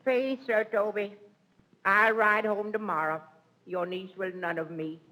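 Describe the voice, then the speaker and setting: Bright, silly, fluttery foppish knight. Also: male voice, high voice, fairly even intonation, unhurried, low-quality recording. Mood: plaintive. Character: spindly, rattle-brained foppish knight, timid suitor. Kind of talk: 1930s radio Shakespeare comedy acting.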